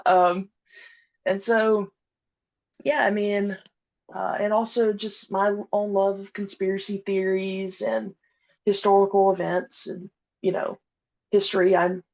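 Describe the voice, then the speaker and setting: A woman's voice: mid-range voice, level tone, measured pace, long pauses, a little mellow. Woman chatting in dull lo-fi audio. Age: 30s. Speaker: podcast guest, audio drama creator. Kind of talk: casual interview conversation.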